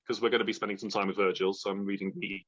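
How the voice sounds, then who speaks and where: A man's voice: fairly low pitch, flat delivery, very fast, fairly bright tone, highs cut off. A man in his thirties chatting casually and enthusiastically about books, joking at his own expense as he co-hosts. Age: 30s. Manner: enthusiastic, jokey, self-deprecating. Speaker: book vlogger and readalong co-host. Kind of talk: casual unscripted book chat.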